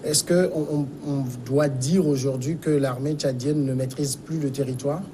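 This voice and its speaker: A man hosting a broadcast news interview, putting measured questions to a guest. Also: mid-range voice, steady tone. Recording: clear background noise.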